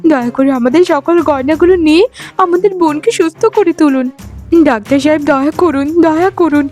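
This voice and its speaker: A woman's voice: sits high, fairly quick, a little mellow, faint music behind, close to an everyday voice. A woman voicing a caring, worried elder co-wife in a cartoon melodrama, speaking in a sisterly, earnest way. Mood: pleading.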